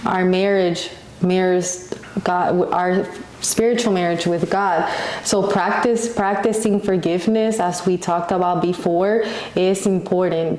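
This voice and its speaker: A woman's voice: lowish voice, a little mellow. A woman co-hosting a podcast, speaking in an earnest, reflective, conversational way about faith. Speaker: podcast co-host. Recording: echoey room.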